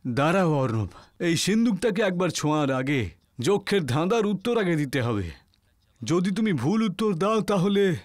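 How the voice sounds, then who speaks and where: A man's voice: high-pitched, sing-song, normal speed, dry recording, clean studio sound. Man acting a sinister, taunting mystery guide. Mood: warning. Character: sinister male guide, master of a demon, menacing and gloating. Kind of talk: audio horror story acting.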